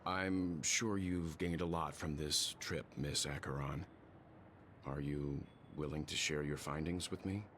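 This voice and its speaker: An actor voicing a grave, measured man in a game who tells a solemn story. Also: a bass voice, steady tone, a bit bright, slight background noise. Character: solemn man telling a homeland parable. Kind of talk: game voice acting. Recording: game audio with music underneath.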